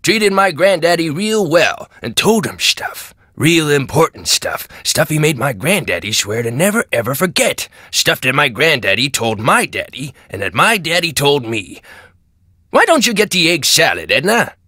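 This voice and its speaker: A man's voice: fairly high pitch, big pitch swings, normal speed, sharp tone, no echo, studio quality, full character voice. An actor playing a folksy, chatty old man in his nineties with an American accent, rambling through stories in a video game. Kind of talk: video game character acting.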